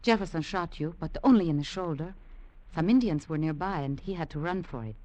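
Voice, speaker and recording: An actress playing a devoted, gentle and solemn Latin American woman in a 1940s radio drama. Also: low-pitched, expressive, brisk. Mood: reassuring.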